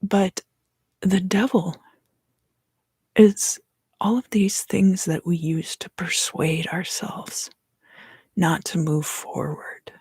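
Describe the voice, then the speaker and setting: Husky, whispering woman reading tarot slowly. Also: lowish voice, flat delivery, dry recording. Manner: whispered and soft-spoken, unhurried. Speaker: tarot reader and ASMR host.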